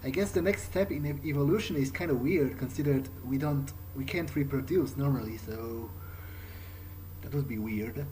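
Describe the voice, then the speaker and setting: Male gamer, mid-pitched, conversational in-character improviser, musing and halting, faint game ambience behind. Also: darkish tone, slight background noise, low-quality recording.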